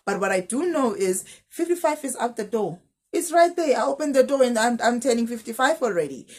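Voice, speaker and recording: A woman's voice: a little high, lively intonation, a little fast, darkish tone. A woman, a teacher giving direct money advice in a casual vlog, asking her viewers question after question. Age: 30s. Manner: direct, advising, piles up rhetorical questions. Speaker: teacher and finance vlogger.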